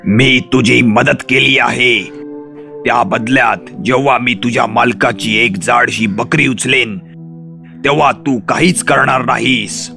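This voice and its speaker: A man voicing a scheming wolf in a children's animated fairy tale, speaking slowly and deliberately. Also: mid-range voice, vocal fry, faint music behind. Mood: demanding. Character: scheming male wolf, friend turned vengeful.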